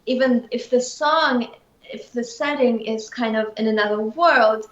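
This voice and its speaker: A woman from Russia, a singer guest in an interview, talking in an animated way with many fillers. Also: on the high side, a little slow, echoey room, muffled recording. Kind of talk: casual interview.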